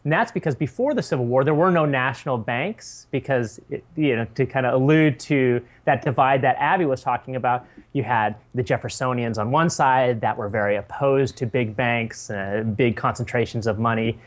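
A man joins a podcast by phone and explains things in a relaxed, conversational way. He keeps checking in with quick tag questions. His pitch sits in a middle range. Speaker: podcast co-host. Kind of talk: casual podcast chat.

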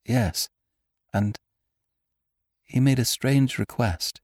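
A man's voice: medium pitch, very slow, darkish tone, no echo, studio quality, voice pushed up. A man reading an audiobook, voicing a troubled young woman who hesitates and grows frightened as she speaks. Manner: hesitant, trembling, rising to alarm. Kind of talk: audiobook character voice.

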